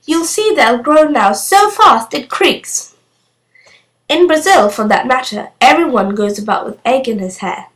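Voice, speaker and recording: Woman doing a cheeky little storybook girl, high voice, cheerful and matter of fact, bit odd. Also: expressive, a little slow, fairly bright tone, poor audio. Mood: boastful, cheerful. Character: cheeky, confident, eccentric young girl living alone.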